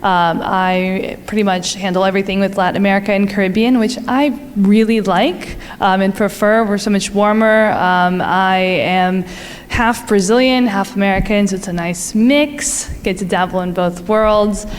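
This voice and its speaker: Young woman, casual upbeat presenter, smooth voice, long hall echo. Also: medium pitch, fairly even intonation, normal speed. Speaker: vendor presenter. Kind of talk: conference presentation. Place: large conference hall. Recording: hall reverb.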